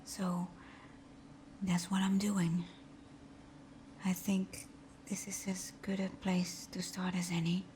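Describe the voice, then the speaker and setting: A woman's voice: on the low side, level tone, slight background noise. Woman acting a timid, whispering villainess. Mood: hesitant, vulnerable. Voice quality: soft, breathy whisper. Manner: hesitant, halting, intimate. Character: timid, tender female fox supervillain confessing to best friend. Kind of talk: whispered ASMR roleplay.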